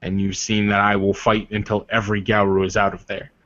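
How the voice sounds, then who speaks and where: A man's voice: completely flat delivery, a bit bright, natural voice. A man playing a proud, commanding werewolf warrior leader in a tabletop game, speaking earnestly and formally. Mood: solemn, resolute. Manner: solemn, deliberate, formal phrasing. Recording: dull, roomy low-fidelity voice recording.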